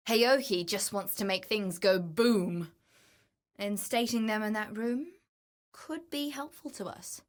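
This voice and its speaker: A woman playing a wry, adventurous treasure-seeking explorer in a video game, speaking in a measured, theatrical, knowing way. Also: mid-range voice, a bit bright, lightly acted. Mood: wry, exasperated. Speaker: game companion character. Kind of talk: scripted video game voice lines.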